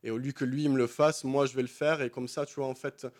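A man of middle pitch talks in a loose, slangy way, full of fillers and restarts. He is a beatmaker guest chatting casually on a late-night radio show. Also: fast. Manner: relaxed slangy talk with many fillers.

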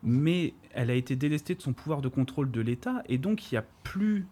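A man's voice: medium pitch, lively intonation, fairly quick, dark tone. A man reading a prepared tech chronicle on a podcast, with wry, ironic asides, then chatting casually.

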